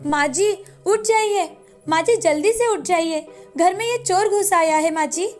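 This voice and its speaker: A dutiful young housemaid from a cartoon tale, put on by a woman storyteller. Her voice is high and her manner modest and deferential. A music bed runs behind her. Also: a little slow. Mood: alarmed.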